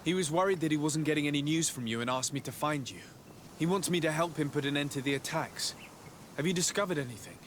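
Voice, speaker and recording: A man playing a composed young noble diplomat in a video game, speaking in a formal, measured and polite way with quiet resolve. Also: on the high side, slight background noise, close to an everyday voice. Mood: calm, firm. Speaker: diplomat character. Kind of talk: video game cutscene acting.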